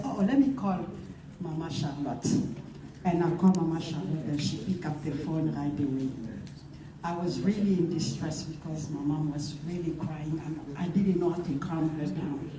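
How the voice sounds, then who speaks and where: A woman shares a personal memory at a memorial, and her telling grows more emotional as she switches between languages. Her voice comes from far off in an echoey hall, with plenty of background noise. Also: low voice. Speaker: speaker at a memorial gathering.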